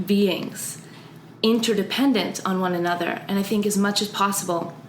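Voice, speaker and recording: A woman's voice: on the low side, echoey room, a little hiss or hum, low-quality recording. A woman who grew up in Austria and Canada, speaking as a guest in an earnest, reflective interview. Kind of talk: conversational interview. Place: office.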